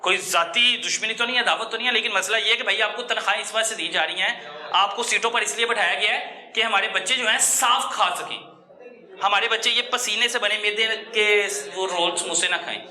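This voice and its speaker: A man's voice: sits high, brisk, pushed and bright. A man with a Lahore Pakistani accent reporting on the spot in a confrontational, scolding and insistent way, repeating himself. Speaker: TV reporter on a food hygiene raid. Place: small food workshop, on location. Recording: echoey room with busy people around.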